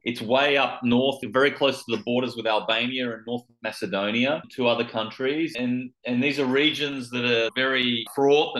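A man's voice: mid-range voice, fairly bright tone, reverberant, poor audio. A man with an Australian accent, an author answering interview questions thoughtfully, often restarting his phrases. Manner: thoughtful, with frequent restarts and repeated words. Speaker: author guest.

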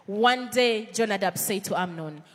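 A woman's voice: mid-range voice, moderate pace, in a large hall. A woman with a Ugandan accent preaching a sermon in church, earnest and conversational, with frequent fillers and restarts.

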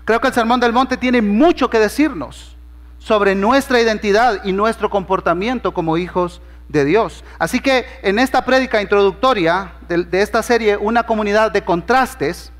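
High-voiced pastor with a Honduran accent. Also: male voice, normal speed, big-room sound. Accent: Honduran Spanish. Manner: conversational, humorous, acts out dialogue. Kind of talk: sermon with storytelling.

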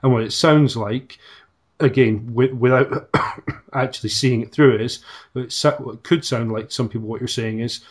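A man in a podcast conversation, speaking in a measured, thoughtful way. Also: mid-range voice, fairly even intonation, slightly rough. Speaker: podcast guest. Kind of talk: reflective conversation.

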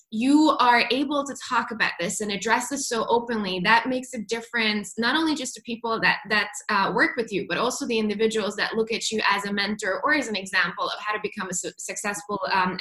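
Female interview host, enthusiastic, bright and slightly high voice, echoey room. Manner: enthusiastic, warm introductions. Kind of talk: interview hosting.

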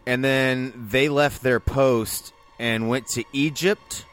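A man's voice: mid-range voice, steady tone, takes it slowly, fairly bright tone. Joking man in casual podcast chat. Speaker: podcast co-host. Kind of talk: casual movie review chat.

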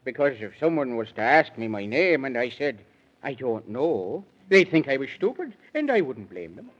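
A man's voice: mid-range voice, big pitch swings, normal speed, slightly rough. An actor voicing a whimsical, fretful lion king in a 1930s children's radio play, with a dithering, theatrical delivery. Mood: woeful, dithering. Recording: muffled vintage 1930s radio recording.

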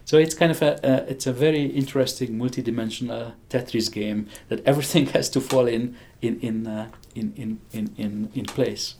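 An older man with a Hungarian accent answers interview questions with eager enthusiasm. His voice has a gravelly, creaky edge at a middle pitch. He chuckles often and stumbles into restarts as he talks. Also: normal speed, hardly any pauses, darkish tone, slight background noise. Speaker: physicist interviewee. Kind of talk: conversational interview.

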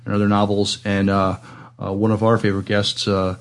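A man co-hosting a podcast in casual talk, speaking haltingly with many filled pauses. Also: lowish voice, monotone. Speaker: podcast co-host.

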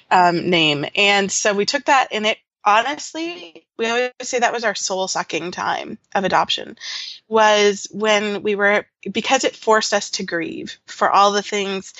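A woman in her forties, a podcast guest telling her story in a casual, reflective way, often laughing softly and restarting with fillers. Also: mid-range voice, no echo, highs cut off. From Canada. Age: 40s.

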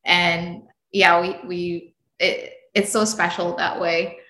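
A young adult woman from Indonesia, a podcast guest telling travel stories in an animated, laughing way. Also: fairly low pitch, slow, echoey room. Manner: animated storytelling, frequent laughs. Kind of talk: casual interview chat.

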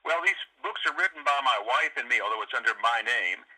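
Bright, husky man on a tinny line. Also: mid-range voice, animated, fairly quick. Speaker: author guest. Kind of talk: interview conversation. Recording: tinny radio-like phone line.

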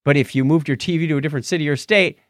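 A man's voice: a little high, rapid-fire, no echo, clean studio sound. A man co-hosting a podcast, mixing casual banter with read-out items and jokey wordplay asides. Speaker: podcast co-host. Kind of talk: casual banter mixed with reading listener mail and history items.

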